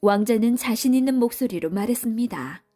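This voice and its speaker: A woman's voice: fairly high pitch, expressive, brisk, dark tone, soft background music. A woman storyteller giving scripted storybook narration for children.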